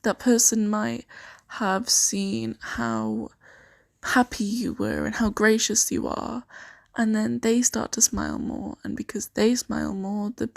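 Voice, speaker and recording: A woman improvising an ASMR roleplay as a kindly, earnest host of a fantasy sanctuary, speaking softly and reassuringly with some hesitation. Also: medium pitch, lively intonation, slow pace, vocal fry, dry recording, clean studio sound. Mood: earnest, gentle. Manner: soft-spoken, gently hesitant, reassuring.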